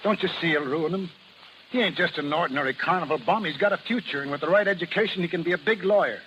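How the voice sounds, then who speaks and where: A middle-aged carnival barker, bitter and forceful, with rough slangy speech. His voice sits high and bright in a faintly noisy 1930s radio drama recording. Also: quick pace, highs cut off. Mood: urgent, pleading. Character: bitter, hot-tempered carnival barker and possessive father.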